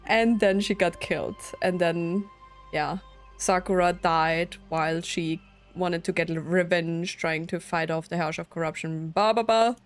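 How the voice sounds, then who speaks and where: A woman streamer giving casual, chatty, giggly commentary as she plays a story game. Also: mid-range voice. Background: game music.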